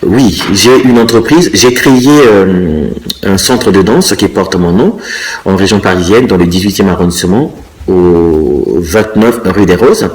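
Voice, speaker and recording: A man in his fifties from Congo, answering interview questions thoughtfully and pausing often to find his words. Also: deep voice, expressive, darkish tone, soft background music, poor audio. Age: 50s. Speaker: interviewee, dancer and choreographer.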